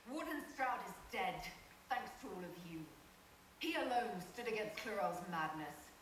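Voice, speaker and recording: A woman playing a commanding elven heroine in a video game, firm, measured and authoritative. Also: medium pitch, lively intonation, a bit bright, lightly acted. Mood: stern. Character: commanding elven heroine leading a holy army. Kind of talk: video game cutscene dialogue. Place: game audio re-recorded in a room by camera. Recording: very echoey, distant off-screen capture, hissy.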